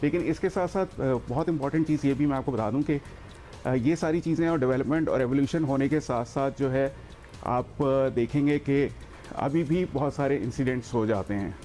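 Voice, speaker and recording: A man from Pakistan, a guest on a talk show, explaining his field in a measured way with many pauses. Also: medium pitch, warm tone, faint music behind. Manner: measured, many hesitation sounds. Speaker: guest air traffic expert.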